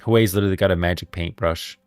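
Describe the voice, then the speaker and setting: A man's voice: low-pitched, steady tone, fast, smooth, dry recording, faint music behind. A man hosting a livestream, talking casually about game lore and rambling as he thinks aloud. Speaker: livestream host.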